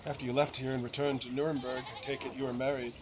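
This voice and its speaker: A man's voice: medium pitch, flat delivery, fast talker, noisy background, close to an everyday voice. A man voicing a chatty, earnest village gossip in a game, speaking in an emphatic, folksy way. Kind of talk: game dialogue read aloud in character.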